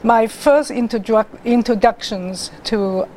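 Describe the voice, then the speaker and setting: A middle-aged woman, telling her life story as a guest artist in an interview, haltingly, with many restarts and pauses. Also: on the high side, a little mellow, slight background noise. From Hong Kong.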